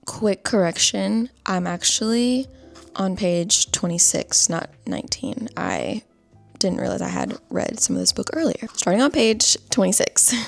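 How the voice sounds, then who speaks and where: A woman's voice: medium pitch, very animated, a little slow, vocal fry, faint music behind. A young woman vlogging in a casual chatty way, a bit stuffy-nosed, sometimes dropping to a whisper. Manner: chatty, often drops to a whisper. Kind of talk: casual vlog chat.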